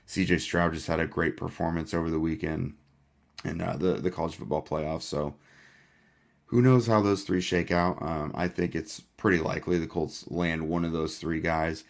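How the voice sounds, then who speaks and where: A man hosting a casual sports podcast, hoarse and losing his voice, with a laid-back delivery full of "uh" fillers. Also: deep voice, steady tone, moderate pace. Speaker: podcast host.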